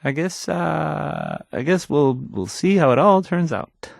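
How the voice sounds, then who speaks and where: A man's voice: a little high, big pitch swings, creaky, dry recording, clean studio sound, full character voice. A man playing a timid, awkward young radio DJ in a video game, hesitant and apologetic as he stammers through the news in a shaky voice. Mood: nervous, tentative. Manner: stammering, halting, apologetic, trailing off. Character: timid, awkward young male radio DJ, anxious and unsure of himself.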